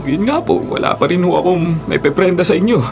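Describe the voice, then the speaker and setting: A man's voice: sits high, animated, fairly quick, over music. An actor playing a humble, desperate father pleading for his sick child in a Filipino radio drama. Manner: pleading, deferential.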